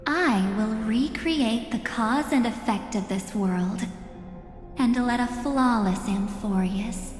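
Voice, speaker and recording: Game villainess: grandiose seductress, unhurried and menacing, in long reverb over music. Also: female voice, a little high. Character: menacing, seductive, grandiose female keeper of memories. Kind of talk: theatrical video game voice acting.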